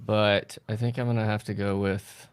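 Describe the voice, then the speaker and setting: A man's voice: fairly low pitch, flat delivery, moderate pace, noisy background. A man joking casually as a comedy show panelist, with a group talking behind him.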